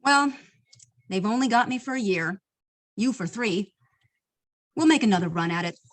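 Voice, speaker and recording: A woman's voice: mid-range voice, animated, normal speed, natural voice. A woman in an amateur table read playing a breezy, needling business partner. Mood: resigned. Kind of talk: amateur script reading.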